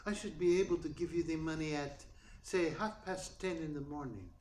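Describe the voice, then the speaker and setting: Prissy, higher-voiced gentleman crook played by a man. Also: normal speed, close to an everyday voice. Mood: formal, smooth. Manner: formal, precise, prissy. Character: polite, fussy, menacing male crook, easily indignant. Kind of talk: radio play acting in 1940s noir manner. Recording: roomy, echoey sound with faint noise.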